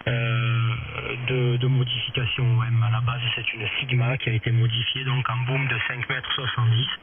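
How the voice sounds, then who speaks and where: A man giving a methodical technical station report as an amateur radio operator. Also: mid-range voice. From France. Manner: methodical, spells with phonetic alphabet. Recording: phone-like radio with very heavy static.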